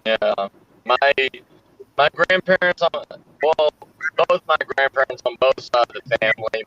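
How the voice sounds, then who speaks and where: Slow, bright-voiced man on lo-fi audio. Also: mid-range voice, no echo. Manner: rambling, halting, full of "like". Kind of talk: casual chat. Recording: dull lo-fi dry audio.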